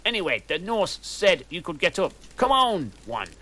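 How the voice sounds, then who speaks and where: A man's voice: sits high, sing-song, moderate pace, pushed and bright, pitched above the performer's own voice, full character voice. A man voicing a fussy female school professor in a prim, raised voice.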